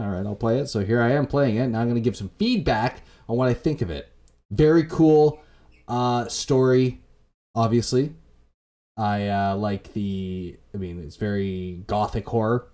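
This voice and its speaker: A man's voice: medium pitch, darkish tone. A man giving casual, laid-back commentary as he hosts a video game playthrough. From Canada. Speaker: gaming video host. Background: atmospheric game music.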